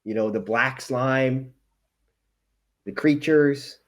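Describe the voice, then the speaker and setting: A man's voice: mid-range voice, takes it slowly. A man in casual chat.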